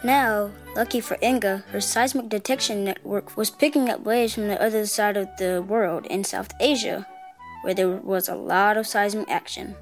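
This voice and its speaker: A woman's voice: medium pitch, steady tone, normal speed, soft background music. A woman co-host giving scripted science narration for kids with upbeat quips.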